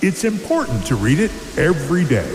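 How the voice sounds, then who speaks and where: A man's voice: fairly high pitch, sing-song, a little fast, a little mellow, slight background noise. Man as an earnest, devout woodsman, heavy reverb. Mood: warm, earnest. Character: wise, devout, kindly male woodsman. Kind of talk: radio drama, scripture reading. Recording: roomy, long reverb, music behind.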